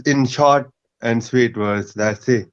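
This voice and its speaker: Pakistani guy calling in, mid pitch, pushy, keeps saying brother, kinda muffled lo-fi audio. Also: slow, smooth. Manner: insistent, calls everyone brother. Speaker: caller. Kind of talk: debate call-in.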